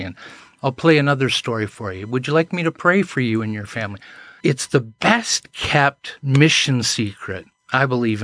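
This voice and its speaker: A man's voice: mid-range voice, fairly bright tone, no echo. A man, a podcast guest telling anecdotes in an earnest, self-deprecating way. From Canada. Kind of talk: casual chat, storytelling.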